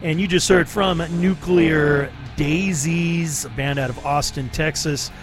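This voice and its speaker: A man's voice: fairly high pitch, fairly even intonation, a little slow, loud music behind the voice. A man hosting a late-night radio show, chatting casually and rambling between songs with fillers and laughs. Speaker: radio DJ host.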